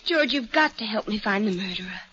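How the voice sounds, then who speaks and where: A woman's voice: mid-range voice, expressive, a little fast, pushed and bright. An actress playing a distressed, determined young woman in a 1940s radio drama, earnest, pleading and insistent. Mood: urgent. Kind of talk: 1940s radio melodrama acting. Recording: muffled vintage 1940s radio recording.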